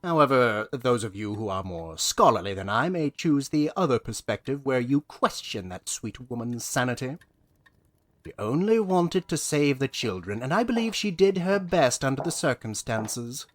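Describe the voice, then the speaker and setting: A man's voice: mid-range voice, big pitch swings, normal speed, fairly bright tone. A man playing a pompous, easily exasperated storyteller in an audio drama, with old-fashioned declamatory phrasing. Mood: earnest, oratorical.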